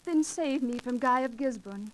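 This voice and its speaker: An actress playing a gentle, earnest young noblewoman with refined, archaic speech in a 1940s radio drama. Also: sits high, moderate pace, natural voice. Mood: anxious pleading. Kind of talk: 1940s radio romance acting. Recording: noisy 1940s radio recording with clicks.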